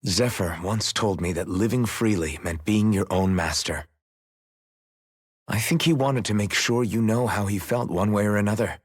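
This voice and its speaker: A man voicing a steady, thoughtful young warrior in a video game cutscene, frank and questioning. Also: fairly low pitch, moderate pace, breathy edge, no room sound, studio quality. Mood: earnest, reassuring. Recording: music behind the voice.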